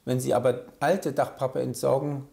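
A man explaining things in an interview as an official, with halting, hesitant phrasing. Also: medium pitch, flat delivery, gravelly, darkish tone. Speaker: forestry enforcement official, interviewee.

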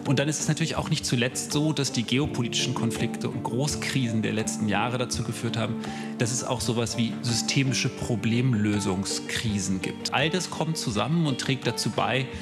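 A man giving analytical expert commentary in measured, academic wording. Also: medium pitch. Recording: loud guitar music over voice.

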